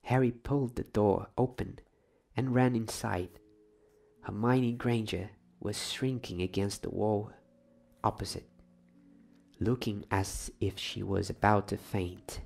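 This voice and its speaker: Low, gravelly man reading a bedtime story. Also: fairly even intonation, slow pace, a little mellow. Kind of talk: ASMR bedtime story reading, soft-spoken narration.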